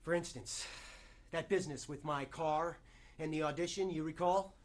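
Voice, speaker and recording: A man performing a stage monologue as a desperate, newly clean struggling actor, halting and self-interrupting as he pleads and bargains. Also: a little high, close to an everyday voice. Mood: contrite, restrained. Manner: halting, self-interrupting, swinging between hushed confession and outburst.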